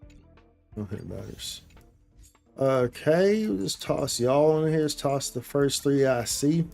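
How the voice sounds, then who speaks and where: Casual man livestreaming over soft music. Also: medium pitch, lively intonation, slow pace, creaky. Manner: slangy, bro-heavy, repeats phrases for emphasis. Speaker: game streamer, host. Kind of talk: casual livestream chat while gaming.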